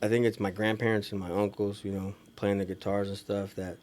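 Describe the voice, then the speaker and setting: A man's voice: fairly low pitch, flat delivery, fairly quick, a little mellow, a little hiss or hum. A man from California in casual podcast chat as a guest, talking informally with slang and lots of "you know" and "like". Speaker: podcast guest, DJ and studio engineer. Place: small room with couches, indoors. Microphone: podcast microphone.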